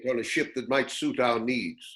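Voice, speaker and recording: A man playing a calm, courteous old warrior in a sci-fi script reading. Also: mid-range voice, normal speed, close to an everyday voice. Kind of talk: script table read.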